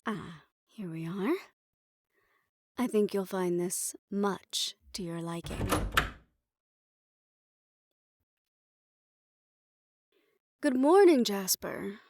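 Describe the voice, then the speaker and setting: She is the poised female manager of a secretive hotel for assassins, acted by a woman in an audio roleplay. She speaks slowly and formally, every courtesy edged with condescension, in a close, dry recording. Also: medium pitch, lively intonation, a little mellow, dry recording. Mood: coolly philosophical. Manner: formal, measured, politely condescending. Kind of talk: scripted audio roleplay addressed to the listener.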